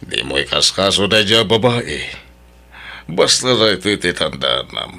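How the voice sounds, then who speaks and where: A man's voice: low voice, big pitch swings, a little slow, vocal fry, bright, forceful tone, heavily acted. A man with an Ilocano accent playing a cheating husband who coaxes his mistress and waves off her worries. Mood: coaxing. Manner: coaxing, dismissive. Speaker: voice actor in an audio drama. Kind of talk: Ilocano audio drama acting.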